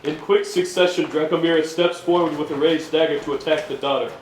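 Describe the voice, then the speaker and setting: Guy reading stage directions, slightly high voice, roomy echo, scripted storytelling. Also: fairly even intonation, a little fast, slight background noise. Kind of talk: scripted dramatic narration. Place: indoor stage at an arts venue. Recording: echoey stage room.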